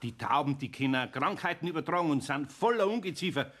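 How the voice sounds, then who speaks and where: Children's radio play: older male master carpenter, Bavarian, patient but scolding, fairly high-pitched. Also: lively intonation, fairly quick, a bit bright. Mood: grumbling. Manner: grumbling, scolding, homely.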